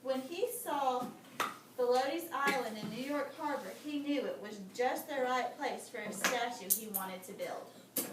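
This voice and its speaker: A woman's voice: high-pitched, a little slow. A woman teacher reading aloud to children in a lesson, breaking off to explain and ask questions. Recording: very echoey noisy room, far from mic.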